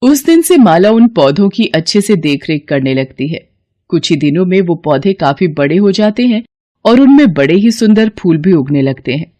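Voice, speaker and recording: A woman giving expressive scripted narration of a children's moral story. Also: fairly low pitch, moderate pace.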